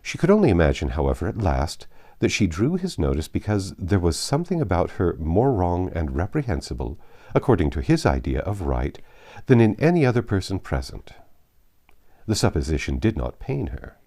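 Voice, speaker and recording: A man's voice: low-pitched, sing-song, normal speed, warm tone. A man reading classic fiction aloud as a narrator. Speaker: audiobook narrator. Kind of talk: scripted literary narration.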